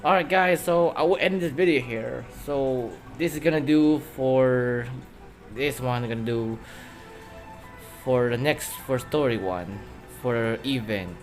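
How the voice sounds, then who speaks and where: Casual gaming man over loud music. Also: medium pitch, measured pace. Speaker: gameplay video host. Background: loud game music.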